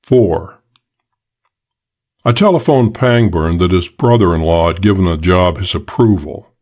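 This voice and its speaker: A man's voice: sits low, unhurried, a little mellow. A man reading a first-person detective story as an audiobook narrator.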